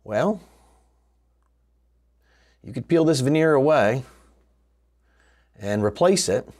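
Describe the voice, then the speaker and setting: A man's voice: medium pitch, expressive, slow, a little mellow. A man hosting a how-to video, narrating casually and musing aloud with rhetorical questions. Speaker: host of a radio restoration how-to video. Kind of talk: casual explanatory DIY narration.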